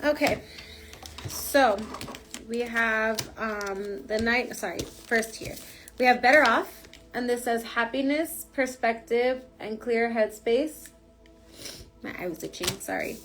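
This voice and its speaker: A woman's voice: a little high, a bit bright. A woman giving a casual, rambling tarot reading with lots of fillers, her voice stuffy from a cold. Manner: chatty, rambling, frequent fillers. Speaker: tarot reader.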